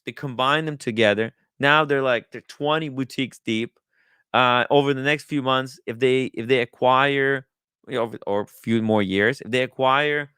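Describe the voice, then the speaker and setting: A man hosting a livestream in casual talk, haltingly thinking aloud as he goes. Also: medium pitch, fairly bright tone, smooth, dry recording, studio quality. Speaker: livestream host. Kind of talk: casual livestream chat, reading viewer comments and riffing.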